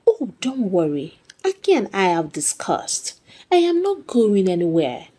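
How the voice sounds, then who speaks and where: A woman's voice: mid-range voice, wildly swooping pitch, unhurried, warm tone. A woman voicing a young pregnant mistress in a folktale, brazen and smug, oozing confidence. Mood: dismissive.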